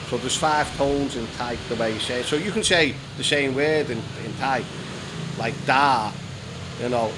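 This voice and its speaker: A British man in his fifties from England, chatting as an interview guest, telling stories and explaining things casually and repeating himself a little. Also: medium pitch. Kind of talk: casual conversational storytelling. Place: small decorated indoor room. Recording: noisy room with background hum.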